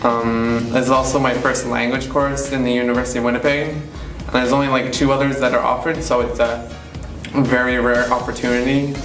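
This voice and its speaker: A young man student speaking in a flat, even tone, measured and with a few fillers. He sits far from the mic in an echoey room. Music plays behind him. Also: medium pitch.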